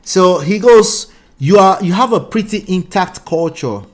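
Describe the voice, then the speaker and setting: A man's voice: high voice, expressive, unhurried, faint music behind. A man in his forties with a Nigerian accent, reading viewer comments aloud and answering them with blunt rhetorical questions. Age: 40s. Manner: emphatic, repeats points, asks pointed rhetorical questions. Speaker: relationship commentary host.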